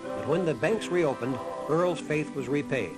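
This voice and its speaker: A man reading scripted documentary narration in a measured, earnest manner. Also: on the high side, lively intonation, loud music behind the voice.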